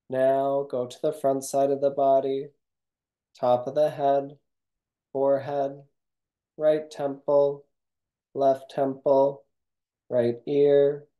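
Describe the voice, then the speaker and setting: Slow, very mellow meditation guide. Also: medium pitch. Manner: calm, measured, instructional. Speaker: yoga nidra instructor. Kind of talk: guided meditation, reading a script.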